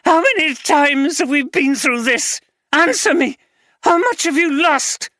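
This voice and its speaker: A reader voicing Christine, an angry, slightly slurring middle-aged wife, in an audiobook. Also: female voice, on the high side, expressive, measured pace, a bit bright, dry recording, studio quality, pitched above the performer's own voice. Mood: bitter, furious. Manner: bitter, contemptuous, slurring slightly. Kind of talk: audiobook character reading.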